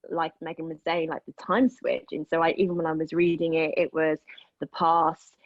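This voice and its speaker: A woman giving casual, appreciative feedback as a cast member, speaking in halting phrases. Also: sits low, flat delivery, no room sound, lo-fi sound. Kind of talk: casual discussion.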